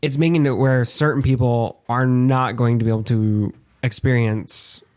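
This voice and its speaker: A man's voice: medium pitch, steady tone, moderate pace, a little mellow, clean tone, no room sound, clean studio sound. A man co-hosting a podcast, chatting casually and enthusiastically as a fan in an easygoing way. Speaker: podcast co-host.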